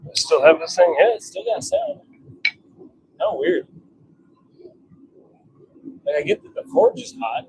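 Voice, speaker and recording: Guy muttering casually on a livestream while working, crackly fry in his voice, self-deprecating, noisy workshop sound. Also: sits high, wildly swooping pitch, a little slow, long pauses, a little mellow. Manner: self-deprecating, grumbling, offhand. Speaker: livestream host and hobby blacksmith. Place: home forge workshop.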